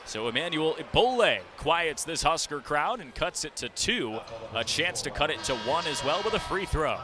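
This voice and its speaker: A man's voice: on the high side, sing-song, moderate pace, music in the background. A young man calling live basketball play-by-play for radio, describing the action vividly as his voice jumps with the plays. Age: young adult. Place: courtside broadcast in a basketball arena. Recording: loud arena noise, bouncing ball behind. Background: basketball game ambience, ball bounces.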